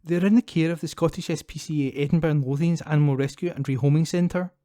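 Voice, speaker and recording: A man with a slightly high, soft-toned voice reads scripted local news items aloud. He delivers them as a volunteer newspaper reader, recorded close and dry at home. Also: fairly even intonation, fast talker, no room sound.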